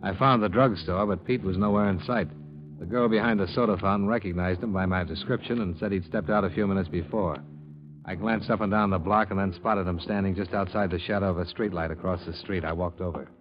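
A man's voice: low voice, steady tone, brisk, lightly acted. An American man playing a cool, businesslike private investigator in a 1950s radio drama, narrating his case in a clipped, matter-of-fact way. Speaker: lead actor and narrator of a detective radio series. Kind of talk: 1950s radio detective acting, scripted narration. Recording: dull vintage radio recording with music.

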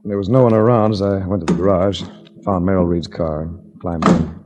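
An actor playing a wry hard-boiled investigator in a 1940s radio drama, speaking in a dry, measured, wisecracking way. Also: male voice, deep voice, steady tone, warm tone, a little hiss or hum, natural voice. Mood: grim, measured narration. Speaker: lead actor and narrator. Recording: dull 1940s radio recording.

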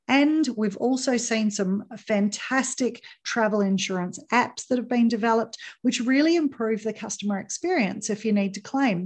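A woman's voice: medium pitch, darkish tone. A woman hosting a tourism podcast, talking listeners through industry trends in an upbeat, promotional way. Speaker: podcast host. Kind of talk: podcast host monologue.

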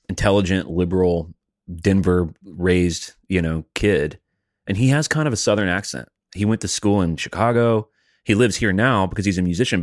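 A man's voice: low voice, dry recording, studio quality. A man chatting casually as a podcast co-host, blunt and profane but reflective. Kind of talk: casual chat.

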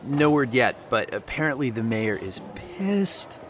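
A man's voice: medium pitch, very animated, measured pace, noisy background. A man playing a supportive, easygoing teenage boy in an audio drama, speaking casually and reassuringly. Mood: gossipy. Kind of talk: naturalistic audio drama acting.

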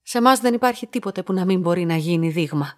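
An actress playing a young, reserved laboratory clerk in a radio drama, polite and matter-of-fact. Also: female voice, lowish voice, animated, natural voice. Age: young adult. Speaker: actor in a radio play.

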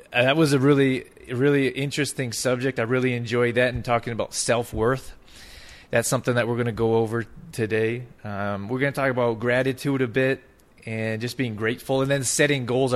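A male podcast host with a mid-range voice, enthusiastic about his guest. He talks casually, often restarting his sentences. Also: mid-range voice. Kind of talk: casual interview.

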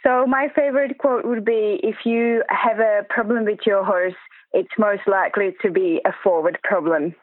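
A woman's voice: medium pitch, steady tone, normal speed, no room sound. Czech-accented woman on a boxy, narrow recording. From Czech Republic, Prague. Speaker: interview guest, dressage coach. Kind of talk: casual interview chat.

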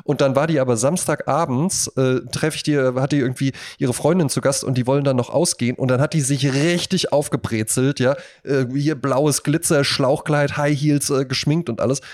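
A man's voice: medium pitch, steady tone, moderate pace, hardly any pauses, darkish tone. A man co-hosting a podcast in casual conversation, talking in a rambling, anecdotal way and slipping into little imagined quotes. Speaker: podcast co-host.

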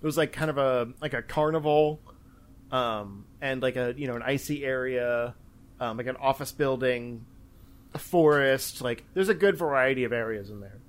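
A man's voice: medium pitch, moderate pace. Casual, rambling man talking games. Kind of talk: casual chat about video games.

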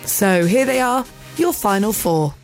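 A woman's voice: medium pitch, lively intonation, measured pace, faint music behind. Upbeat woman podcast reporter. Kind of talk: scripted presenting.

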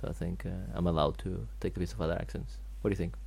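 Male podcast host, heavy foreign accent, halting, loose and chatty. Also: low voice, fairly even intonation, vocal fry, a crowd in the background. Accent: foreign accent, strong. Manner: rambling, halting, many restarts and fillers. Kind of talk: casual podcast chat.

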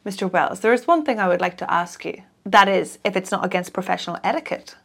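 A woman's voice: medium pitch, lively intonation, fast talker, vocal fry, voice pushed down. A woman reading the lines of a grave, thoughtful male detective who asks probing questions.

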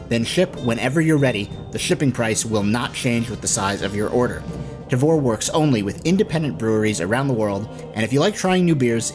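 A man speaks as a podcast host with music clearly playing behind him. His voice sits at an ordinary male pitch, and he delivers announcements and ad copy casually and directly. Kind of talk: casual scripted ad read and announcement.

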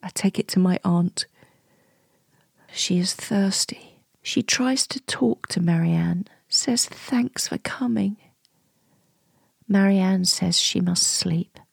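A woman playing a weary, grieving middle-aged niece in an intimate radio drama monologue, breathy, hushed and deliberate. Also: medium pitch, no room sound, heavily acted. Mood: hushed, tense. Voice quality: breathy, whispery.

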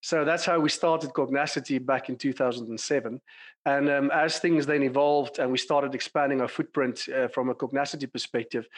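A man in his forties with a South African accent, a clinical psychologist talking through his career as a podcast guest, thoughtful and full of small fillers. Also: medium pitch. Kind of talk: conversational interview.

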